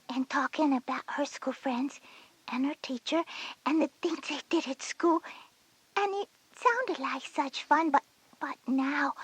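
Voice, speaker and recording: A woman voicing an anxious, hesitant little girl starting kindergarten in a children's educational audio drama, with a breathy voice. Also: sits high, a bit bright, no echo. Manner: hesitant, timid.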